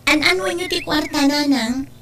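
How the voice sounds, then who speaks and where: A woman's voice: high voice, moderate pace, fairly bright tone. A woman with an Ilocano accent acting a stern, responsible aunt in an audio drama, firm and admonishing. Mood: indignant. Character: stern, responsible aunt and daughter, advising family.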